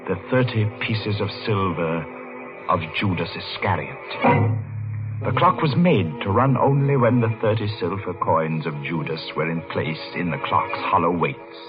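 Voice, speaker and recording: Man playing a deep, haunted middle-aged clockmaker. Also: close to an everyday voice. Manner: brooding, hesitant in conversation. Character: haunted, vengeful middle-aged London-born clockmaker, narrating his story. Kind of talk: 1940s radio mystery narration and acting. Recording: dull old radio recording, loud music.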